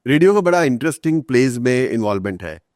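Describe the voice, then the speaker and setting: A man's voice: medium pitch, very animated, fast, darkish tone, dry recording, clean studio sound. A man with an Indian accent explains and tells anecdotes in conversation as an interview guest, emphatically, repeating phrases, asking rhetorical questions and slipping into English. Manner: mixes Hindi with English phrases.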